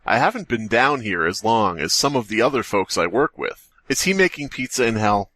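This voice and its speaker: A man's voice: on the low side, big pitch swings, moderate pace, fairly bright tone, dry recording. A man co-hosting a comedy podcast in casual banter, often interjecting with mock-serious remarks and swearing. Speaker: podcast co-host.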